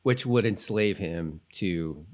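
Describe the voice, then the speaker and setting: A man with a slightly low voice chats as a podcast co-host. He talks casually, rambling and working out his thoughts as he goes. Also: takes it slowly, darkish tone. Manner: rambling, thinks aloud in loose tangents. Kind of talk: casual TV rewatch chat.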